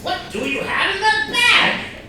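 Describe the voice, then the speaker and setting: A man's voice: very high voice, expressive, unhurried. A man playing a pompous, forgetful rich employer in a comedy staged reading, blustering and exaggerated. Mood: befuddled. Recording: distant mic, echoey room, faint noise.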